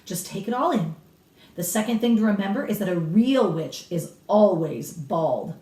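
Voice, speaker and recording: A woman's voice: mid-range voice, expressive, normal speed, dark tone, voice pushed up, lightly acted. A woman voicing a blunt, authoritative elderly grandmother from a storybook, explaining with emphatic relish and a snappish edge. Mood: instructive, emphatic. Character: cigar-smoking old grandmother, authoritative, blunt, relishing grisly witch lore. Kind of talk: storybook read-aloud character. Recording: roomy echo, distant microphone.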